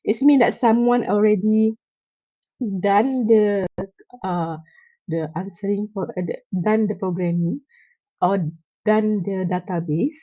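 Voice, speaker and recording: A woman gives a classroom lecture, her voice nasal and stuffy from a cold. She speaks slowly in a mellow, fairly high voice, pausing on fillers and asking "okay?" to check her students follow. The sound is dry and a little muffled. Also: no echo. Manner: explains slowly with many fillers and check-ins. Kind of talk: classroom lecture on software tools.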